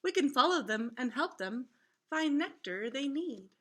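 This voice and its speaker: Casting: woman playing a friendly cat guide for a picture book, high bright voice, emphatic and eager to explain. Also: big pitch swings, unhurried, full character voice.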